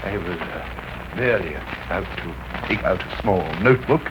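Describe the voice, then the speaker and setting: Cold criminal mastermind, formal, deliberate, menacing, low voice, noisy old radio drama recording. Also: male voice, animated. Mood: guarded, sly. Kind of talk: 1930s radio acting. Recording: noisy, muffled 1930s radio broadcast.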